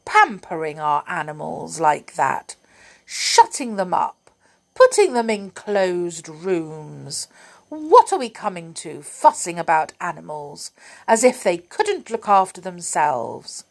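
A woman's voice: mid-range voice, big pitch swings, unhurried. A woman doing a cross, scolding old witch. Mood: scornful. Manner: loud, scolding, indignant.

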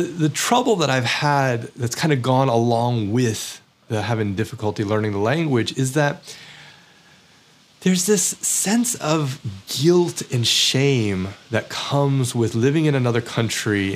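A man's voice: mid-range voice, expressive, a little slow. An American man talking in a candid, self-deprecating way, with many fillers and restarts, about his own experiences as a teacher living abroad. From United States. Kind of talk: reflective personal monologue.

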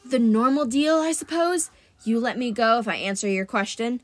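A woman's voice: fairly high pitch, no echo, lightly acted. A woman voicing a sly, humiliated old man of the sea in an audiobook, groaning and drawing out his words. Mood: humiliated, grumbling. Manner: groaning, aggrieved, drawn-out.

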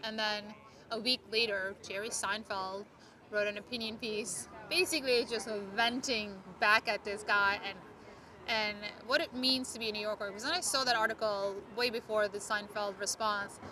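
A woman from Turkey co-hosting a podcast, chatting casually outdoors at a city restaurant and filling her talk with "like.". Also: mid-range voice. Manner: chatty, lots of "like" fillers. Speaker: podcast co-host. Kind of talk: casual chat. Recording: busy street crowd noise behind. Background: city street crowd and traffic.

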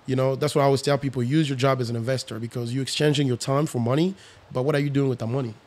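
Confident man in his thirties, relaxed. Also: mid-range voice, very fast. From Congo, raised in France. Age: 30s. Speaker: guest, real estate investor. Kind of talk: casual podcast chat.

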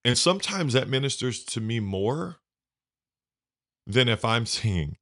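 A man hosting a conversation with a deep bass voice, effusive and emotional as he talks. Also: very animated, measured pace, fairly bright tone. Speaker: podcast host. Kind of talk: casual conversation.

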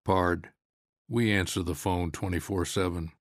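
A man's voice: low voice, steady tone, measured pace, dry recording, studio quality. A man reading the part of a big, stern small-town sheriff in a story, folksy and blunt. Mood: stern, accusing.

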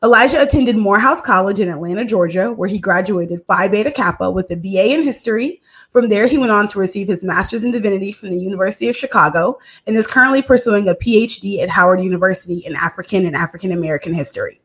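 A young woman from Texas hosts a podcast and speaks in an earnest, measured way. Her voice sits in an ordinary middle register, and she leans on small fillers between her thoughts. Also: medium pitch, a bit bright. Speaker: podcast host. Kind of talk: podcast interview.

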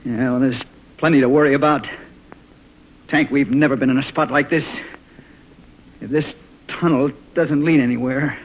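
An actor playing a brave, quick-thinking young American ace pilot who gives urgent, clipped commands in 1940s radio adventure style. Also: male voice, medium pitch, steady tone, lightly acted. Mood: worried. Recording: noisy vintage 1940s radio recording.

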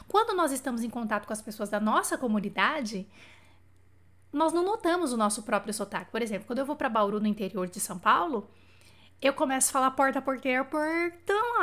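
High-voiced chatty Brazilian teacher. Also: female voice, fairly bright tone. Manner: chatty, asks for feedback with frequent "tá?". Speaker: French teacher. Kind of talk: live-streamed language lesson. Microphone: live stream setup.

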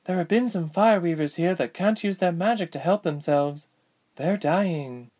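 A man's voice: high voice, normal speed, a little mellow, voice pushed up. A man voicing an impatient, scornful older sister in a storybook narration. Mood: grim.

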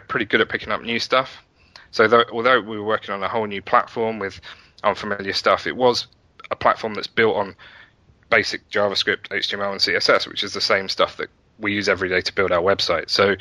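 A man's voice: on the low side, pushed and bright, no echo. A middle-aged man talking casually as an interview guest, explaining how he built something in a self-deprecating way. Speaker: interview guest, website founder and developer.

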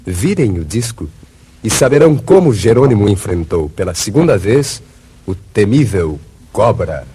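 A man's voice: on the low side, sing-song, normal speed. A man narrating a 1950s radio drama from a script in a theatrical, declamatory manner.

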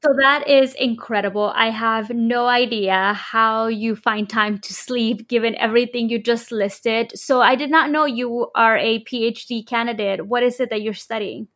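A woman's voice: on the high side, normal speed, hardly any pauses, fairly bright tone. Woman hosting an immigrant-rights podcast. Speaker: podcast host. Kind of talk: podcast interview.

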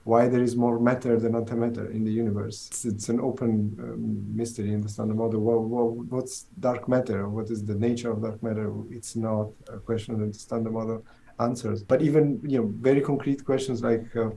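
A man's voice: mid-range voice, level tone, fairly quick, creaky, mellow, a little hiss or hum, rough recording. A middle-aged man from southern Italy with an Italian accent, a theoretical physicist answering interview questions thoughtfully, with many restarts.

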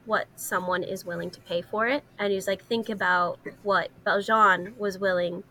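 Female podcast co-host, bright clear-cut delivery, earnest and conversational, some noise in the background. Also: medium pitch, fairly even intonation, moderate pace. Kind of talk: casual discussion of stories and faith.

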